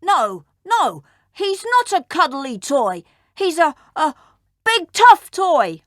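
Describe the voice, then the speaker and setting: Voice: high-pitched, wildly swooping pitch, slow, a bit bright, no room sound, studio quality, voice pushed up, full character voice. A storybook narrator voicing a teasing tough schoolboy who is easily flustered. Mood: flustered, defensive.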